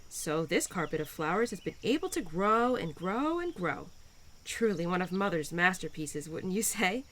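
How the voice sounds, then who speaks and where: Spring spirit, female, played by a woman: playful, nurturing, teasing, lively swooping intonation, audio drama intimacy over faint birdsong and crickets. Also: on the low side, slight background noise. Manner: bubbly, affectionate, gently teasing, expressive. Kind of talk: roleplay audio drama addressed to the listener.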